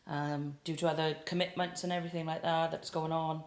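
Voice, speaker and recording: Welsh woman, late 20s, casual guest, mid pitch, echoey room. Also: a little fast. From South Wales. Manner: chatty, relaxed, with frequent fillers. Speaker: guest singer-songwriter. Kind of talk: casual interview chat. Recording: roomy echo.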